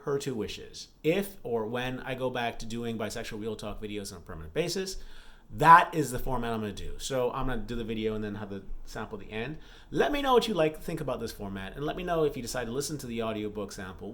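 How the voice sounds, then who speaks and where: Casual male author vlogger, halting delivery. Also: medium pitch, fairly bright tone. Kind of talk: casual vlog chat.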